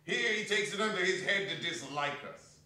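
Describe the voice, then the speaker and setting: A man's voice: high-pitched, normal speed, pushed and bright, voice pushed up. Man playing merry young Victorian nephew, very echoey hall. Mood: amused exasperation. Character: Fred, Scrooge's jovial, merry, exasperated young nephew. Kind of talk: theatrical dramatic reading on stage. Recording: echoey hall, distant microphone.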